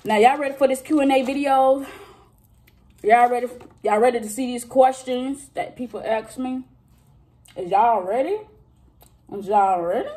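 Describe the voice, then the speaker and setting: Woman in her thirties, vlogger, unhurried mellow voice, chatty, talks straight to viewers, drawn-out exclamations while eating. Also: on the high side. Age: 30s. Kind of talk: casual mukbang chat to viewers.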